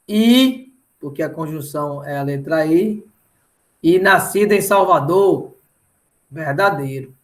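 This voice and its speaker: A middle-aged Brazilian man lecturing didactically in an online lesson, often checking in with short tag questions. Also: sits high. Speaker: mathematics teacher.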